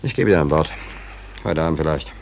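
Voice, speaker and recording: An actor playing a jobless, stubborn, weary sailor in a 1940s German radio play, speaking in clipped colloquial phrases. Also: male voice, a bass voice, fast talker, creaky. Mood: weary, resigned. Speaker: ship's stoker.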